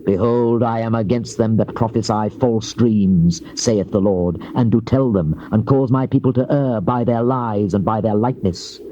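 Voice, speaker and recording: A man's voice: lowish voice, dark tone, lightly acted. A British actor playing a stern Old Testament prophet, declaiming judgment solemnly in a dramatized King James Bible reading. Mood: accusing, solemn. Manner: solemn, declamatory, archaic phrasing with drawn-out endings. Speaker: scripture narrator voicing a prophet. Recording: muffled, noisy old cassette tape.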